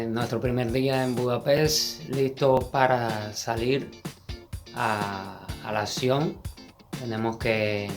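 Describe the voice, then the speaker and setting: A man with a Cuban accent vlogging as he walks the streets in winter, chatty and thinking aloud, often repeating words mid-sentence. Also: mid-range voice. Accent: Cuban Spanish. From Cuba. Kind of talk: casual travel vlog narration. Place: city streets outdoors in winter. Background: music.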